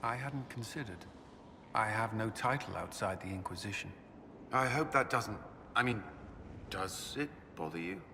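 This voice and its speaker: An actor playing an earnest, soft-spoken military commander with a halting manner, in dramatic video game dialogue. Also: male voice, fairly low pitch, expressive, background noise. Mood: shy, sincere. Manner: hesitant and halting in intimate talk.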